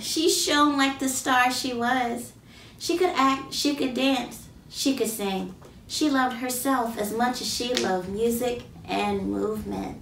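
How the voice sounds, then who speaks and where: Higher-voiced woman reading in a roomy space. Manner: expressive, drawn-out emphasis, questions to young listeners. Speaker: children's book author reading aloud. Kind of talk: picture-book read-aloud for children. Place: small plain indoor room. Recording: roomy, distant mic, faint background noise.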